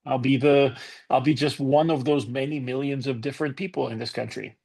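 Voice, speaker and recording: Middle-aged Greek-accented male speaker, gravelly, candid and conversational. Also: medium pitch, steady tone, brisk, darkish tone. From Greece. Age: 50s. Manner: candid, conversational, invites debate. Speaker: conference speaker. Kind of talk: informal talk to an online audience. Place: online conference session.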